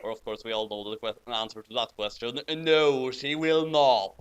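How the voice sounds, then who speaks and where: A man's voice: medium pitch, little pitch movement, moderate pace, clear, resonant tone. A man reading a story aloud and commenting on it in an amused, incredulous, mocking way. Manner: incredulous, amused, breaking into laughter over the text. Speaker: host reading fan fiction aloud with commentary.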